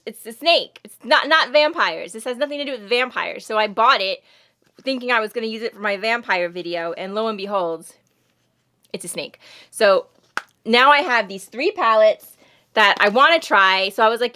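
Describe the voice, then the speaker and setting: A woman's voice: fairly high pitch, bright, forceful tone. A woman with a Long Island accent, rambling and self-deprecating as she chats through makeup reviews, a little sniffly from allergies. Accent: Long Island New York. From United States. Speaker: beauty vlogger. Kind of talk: casual makeup review chat.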